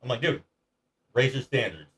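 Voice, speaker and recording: Brief: plain mid-pitched man, blunt, no-filter guest. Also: expressive, moderate pace, a bit bright. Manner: blunt, swears freely, no filter. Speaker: guest, former coworker. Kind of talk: casual chat.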